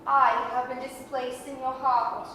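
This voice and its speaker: Actress as sweet principled young woman, high voice, old radio play, lots of hall reverb. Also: level tone, unhurried, sharp tone. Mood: sorrowful, firm. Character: gentle, principled young sweetheart, quietly disappointed. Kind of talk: 1930s radio acting. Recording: echoey hall, distant mic, faint rumble.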